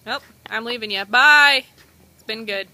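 A young woman filming a casual road trip vlog, teasing and excitable, recorded on a handheld phone outdoors and in a car. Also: fairly high pitch. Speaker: vlogger. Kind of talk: casual travel vlog chat.

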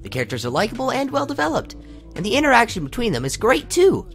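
A man's voice: very high voice, huge pitch swings, fast talker, fairly bright tone, exaggerated voice. An actor playing an earnest, geeky young male huntsman student in an audio drama. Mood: geeky enthusiasm. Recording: music under the voice.

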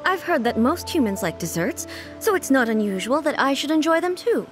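A woman voicing a calm, regal female goddess ruler in a game cutscene, speaking in a measured, composed way. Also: high voice, soft background music. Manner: measured, poised, gently matter-of-fact.

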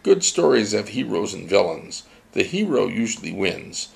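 A man's voice: fairly low pitch, very animated, moderate pace, gravelly, full character voice. A man voicing a mysterious spirit teacher that takes a child's form, speaking bluntly and instructively.

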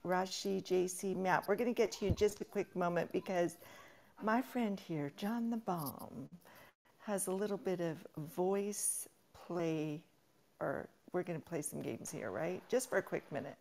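A woman moderating an online audio chat room, energetic and hype-building, laughing often as she rallies listeners. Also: on the low side, a little slow, vocal fry. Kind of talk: enthusiastic Clubhouse hosting.

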